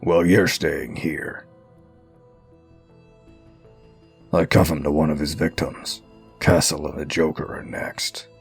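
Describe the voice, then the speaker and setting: A stern, menacing masked crimefighter for a comic dub. His voice is extremely deep, with a heavy gravelly creak, and he gives terse commands like threats. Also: level tone, unhurried, faint music behind, full character voice. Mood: cold command. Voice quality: gravelly, husky growl. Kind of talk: comic book dub acting.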